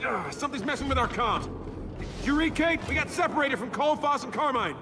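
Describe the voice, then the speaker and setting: Young soldier leader, terse, commanding, high-pitched, swinging delivery, action game. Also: male voice, expressive, brisk. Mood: tense. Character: young male soldier squad leader, brash and decisive. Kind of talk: military action video game acting. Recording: loud game music and gunfire behind.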